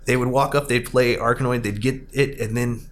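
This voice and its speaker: A man's voice: medium pitch, steady tone, brisk. A man hosting a casual podcast discussion, talking in an enthusiastic, rambling way. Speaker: podcast host and arcade owner. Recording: clear background noise.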